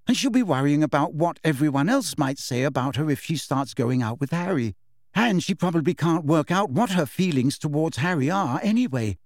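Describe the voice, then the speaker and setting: A man's voice: on the high side, a little mellow, no room sound. Audiobook role: exasperated, businesslike clever teen girl, performed by a male narrator, dry close sound. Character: brisk, bossy, know-it-all teenage girl.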